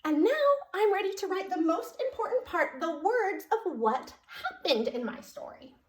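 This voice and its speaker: A woman's voice: very high-pitched, big pitch swings, moderate pace. A woman telling a story to preschoolers as a children's librarian, in a sing-song, child-directed way with frequent questions. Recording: roomy echo.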